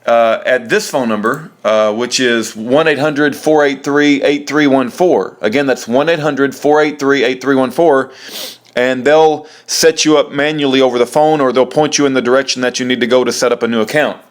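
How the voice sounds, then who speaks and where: A man talking through an informal how-to, explaining step by step in a halting way and repeating himself. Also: medium pitch, steady tone. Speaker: presenter of a how-to video. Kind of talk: informal spoken tutorial, step by step.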